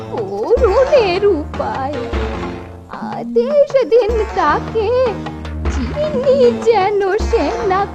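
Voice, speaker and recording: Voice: extremely high pitch, heavily acted. A performer voicing a poor, pleading old village mother in singsong cartoon verse. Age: older. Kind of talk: rhyming cartoon dialogue. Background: music.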